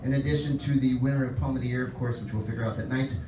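A man's voice: medium pitch, steady tone, very fast. A man hosting a poetry open mic, making loose, chatty announcements. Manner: loose, chatty, laughs between lines. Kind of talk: casual announcements. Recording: roomy, distant, noisy venue.

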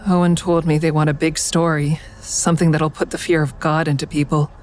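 A woman's voice: sits low, little pitch movement, brisk, darkish tone, slight background noise, close to an everyday voice. An actress playing a guilt-laden, wary woman in danger in an audio drama, speaking in an earnest, confiding way. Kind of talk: full-cast audio drama acting and inner narration.

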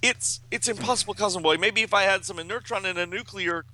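Man acting out urgent, high-pitched young comic book superhero. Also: sharp tone. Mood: desperate. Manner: breathless, melodramatic, halting. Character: anguished teenage male superheroes crying out urgently. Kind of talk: dramatic comic book read-aloud.

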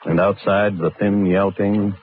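Low-pitched man narrating on thin vintage radio. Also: little pitch movement, unhurried, narrow, tinny audio, slight background noise. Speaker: host and narrator. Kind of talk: scripted 1950s radio crime narration. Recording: thin, band-limited vintage radio broadcast. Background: music.

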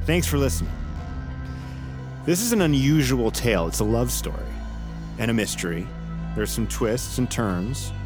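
Actor as rambling, jittery American assassin, low-key narrator. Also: male voice, natural voice. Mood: wry, welcoming. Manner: halting, self-interrupting, wry. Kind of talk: audio drama acting and first-person narration.

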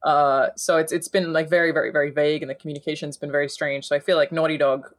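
A young adult Australian woman with a fairly deep voice, talking in a casual podcast chat and laughing often. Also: fast. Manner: laughs often, chatty and animated. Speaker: podcast co-host.

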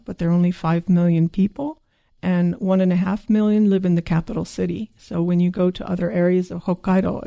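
Woman from Japan, guidebook author and tour guide, warm dark tone, calm and informative, dry studio sound. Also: lowish voice, creaky, dry recording. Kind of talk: radio interview.

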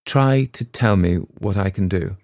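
A man voicing a frightened, trembling young woman who speaks in breathless, hurried pleas. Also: low voice, a little mellow, no echo. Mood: pleading.

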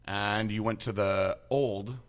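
A man's voice: fairly low pitch, steady tone, slow, clear, resonant tone. A man hosting a casual interview, upbeat and chatty with frequent restarts. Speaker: host.

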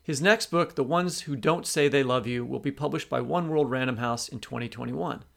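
A man's voice: mid-range voice, normal speed, vocal fry. A man speaking as a podcast co-host, introducing and questioning in a discursive way, correcting himself as he goes.

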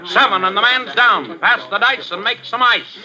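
An actor playing a fast-talking male craps game dealer who barks rhyming patter in a 1940s radio drama. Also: high voice, pushed and bright, muffled recording. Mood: hustling. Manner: rhyming patter, barking calls. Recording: old radio broadcast.

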